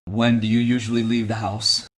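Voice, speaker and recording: A man's voice: lowish voice, level tone, moderate pace, a bit bright. Pushy, suspicious male detective. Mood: stern. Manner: probing, accusatory. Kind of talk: audio crime drama acting.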